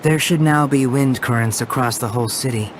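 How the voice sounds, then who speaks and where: A man voicing a calm, analytical young strategist in a video game, measured and explanatory. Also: medium pitch, very noisy, close to an everyday voice. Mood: businesslike.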